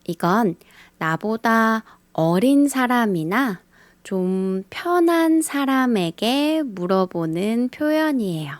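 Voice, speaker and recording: A woman teaching a beginner language lesson, speaking in a slow scripted style and carefully repeating the example phrases. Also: a little high, lively intonation, no room sound. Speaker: Korean teacher.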